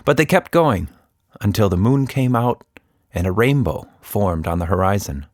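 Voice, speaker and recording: A man reads a children's story with theatrical expression. His voice sits fairly low and moves widely up and down as he narrates. The sound is dry and close. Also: lively intonation, moderate pace, darkish tone, dry recording.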